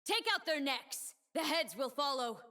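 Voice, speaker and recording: A woman's voice: sits high, animated, unhurried, bright, forceful tone, full character voice. A woman playing a proud, stern young warrior who defends her homeland, with a solemn, defiant, cutting delivery for a video game. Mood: rallying, fierce. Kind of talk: video game character voice lines.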